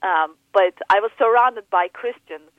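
A woman speaks over a thin, tinny phone line, her voice close and dry. She talks haltingly, filling pauses with ums and ahs and restarting her sentences. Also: mid-range voice, dry recording. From Turkey. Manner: hesitant, many fillers and restarts. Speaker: guest. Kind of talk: interview on faith and upbringing.

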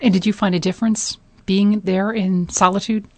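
A woman hosting an interview, who puts clear, measured questions to her guest. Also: medium pitch, steady tone, darkish tone, dry recording. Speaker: radio interview host.

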